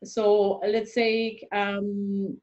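A young woman speaks at a middle pitch over a dull, lo-fi video-call line. She is an immigration consultant, and she walks through the rules step by step with examples. She talks into a webcam in a small room. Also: flat delivery, very slow, darkish tone. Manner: explains step by step with worked examples.

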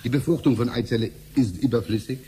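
An actor with a Slavic accent playing an obliging, long-winded scientist in a 1970s radio play, politely rambling and seeking agreement. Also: male voice, medium pitch, fast, dark tone, slightly rough. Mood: eager. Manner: polite, rambling, repeatedly adds tag questions.

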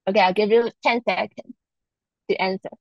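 A woman's voice: medium pitch, fairly even intonation, normal speed, no echo. A young woman from Taiwan with a Taiwanese Mandarin accent, patiently teaching a live online Chinese lesson. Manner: patient, explains step by step. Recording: dull lo-fi online stream audio.

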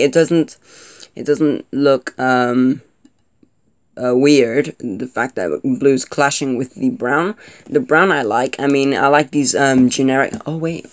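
A young man from Colombia, talking casually while unboxing and reviewing a toy, rambling with lots of fillers and restarts. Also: mid-range voice. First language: not Spanish. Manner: chatty, hesitant, many fillers and restarts. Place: indoor room at a table. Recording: background guitar music. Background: guitar music.

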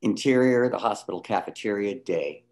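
Male narrator, low pitch, scripted screenplay directions, table read. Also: lively intonation, moderate pace, a little mellow. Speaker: stage directions reader.

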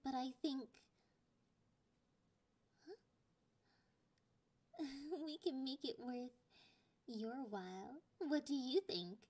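A possessive young catgirl girlfriend, acted by a woman, purrs in a high, girlish voice. She takes her time over every taunt, sliding between intimate speech and breathy whispers in an ASMR roleplay style. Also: darkish tone. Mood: sweet, playful. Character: clingy, possessive, teasing young catgirl girlfriend, slightly sadistic.

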